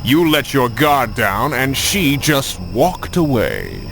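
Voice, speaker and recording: An actor playing a cold, commanding Templar grandmaster in a video game, speaking with measured contempt. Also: male voice, medium pitch, sing-song, creaky, a bit bright, heavily acted. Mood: cold, displeased. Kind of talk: dramatic video game acting. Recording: game cutscene with music behind.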